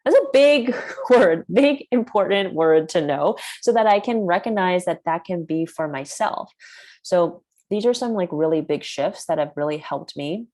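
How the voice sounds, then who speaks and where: A woman's voice: on the low side, animated, measured pace, mellow. A woman whose first language is Chinese, hosting a workshop in a chatty way, with lots of "like". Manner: chatty, heavy use of "like". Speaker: workshop host. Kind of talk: casual workshop talk.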